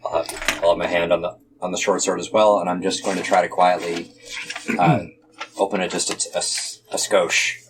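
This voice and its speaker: A man's voice: deep voice, level tone, normal speed. A man talking casually as a player in a tabletop role-playing game, saying what his character will do. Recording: clear ambient background noise.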